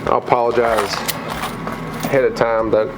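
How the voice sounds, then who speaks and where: A man with a cold, a little raspy and tired, chatting casually through a coin pusher vlog in an arcade. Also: medium pitch, moderate pace, background noise. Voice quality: slightly mumbled from a lozenge. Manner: chatty, excitable at wins. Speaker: vlogger.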